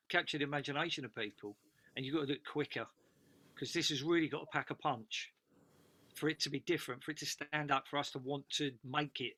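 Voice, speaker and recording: A man's voice: fairly high pitch, bright, forceful tone. A man giving casual feedback as a script panellist, speaking emphatically and repeating phrases for stress.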